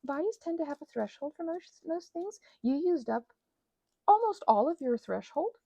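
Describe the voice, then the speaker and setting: A woman's voice: high voice, very animated, moderate pace, gravelly, mellow, no room sound, pitched above the performer's own voice, exaggerated voice. A woman voicing her own body as an indignant, sarcastic character who scolds and lectures in a comic ramble. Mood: reproachful.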